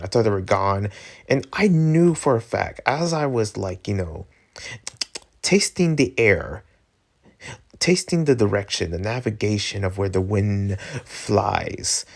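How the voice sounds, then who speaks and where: A man with a lowish voice and plenty of creak talks through his opinions. He rambles in a casual, slangy style, often halting and picking the thought back up, like the host of an informal commentary show. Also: lively intonation.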